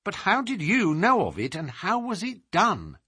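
A man's voice: high-pitched, wildly swooping pitch, moderate pace, sharp tone, breathy edge, no room sound, studio quality, voice pushed up, heavily acted. A man voicing a respectful, plain-spoken and often puzzled police inspector in an audiobook.

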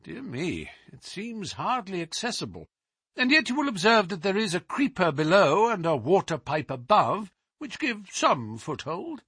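A man playing a composed, amiable gentleman detective, polite and formal, in a storybook reading. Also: high voice, sing-song, a little slow, husky, dry recording, clean studio sound, pitched above the performer's own voice, heavily acted. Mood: amused, musing. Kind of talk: dramatised audiobook reading.

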